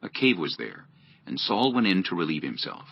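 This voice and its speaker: A man's voice: low voice, very animated, moderate pace, creaky, background noise. A man narrating scripture as a scripted dramatized audiobook. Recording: dull lo-fi audio.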